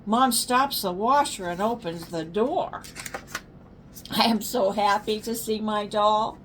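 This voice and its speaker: A woman's voice: medium pitch, slow, a little hiss or hum. An older woman, a grandmother, reading a children's story aloud expressively and explaining words to young listeners.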